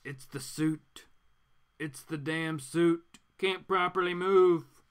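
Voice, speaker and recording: A man's voice: fairly high pitch, steady tone, takes it slowly, smooth. A man voicing an embattled, defiant superhero from a comic book in a gritty, urgent, dramatic manner. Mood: strained.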